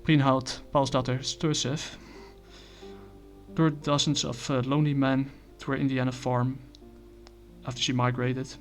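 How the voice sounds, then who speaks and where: Male comic reviewer, middle pitch, monotone, hesitant with lots of fillers. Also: soft background music. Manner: halting, many fillers and restarts. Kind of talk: casual spoken review.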